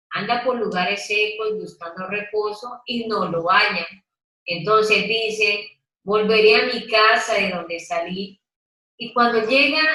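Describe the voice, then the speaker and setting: A woman's voice: mid-range voice, sharp tone. A woman leading a Bible study, reading scripture and drawing out her listeners with questions. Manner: slow, questioning, coaxing listeners to take part. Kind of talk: interactive Bible teaching. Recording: echoey room, mic at a distance.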